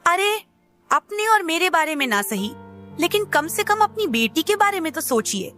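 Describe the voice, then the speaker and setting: A woman's voice: very high-pitched, big pitch swings, moderate pace, sharp tone, faint music behind, exaggerated voice. A woman voicing a worried village wife and mother in a cartoon, insistent and reproachful. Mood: exasperated, pleading. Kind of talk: Hindi cartoon story dialogue.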